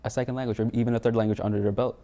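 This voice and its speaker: A man's voice: mid-range voice, flat delivery, very fast, dark tone. A young man in his twenties of Filipino background, answering interview questions in a plain, earnest way. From Philippines. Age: 20s. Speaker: student interviewee.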